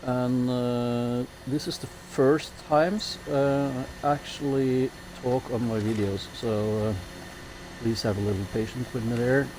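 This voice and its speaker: A man's voice: mid-range voice, steady tone, takes it slowly, background noise. A man with a Norwegian accent gives easygoing, chatty commentary as a game streamer, with self-mocking jokes. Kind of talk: casual commentary while playing a building game.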